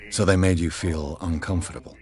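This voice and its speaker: A persistent investigator from the mainland voiced by a man, polite but pressing. His voice sits very low and stays measured as he asks one question after another. He sounds like a character in a narrative video game. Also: fairly even intonation, a little mellow, natural voice. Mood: probing. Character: inquisitive male investigator from the mainland.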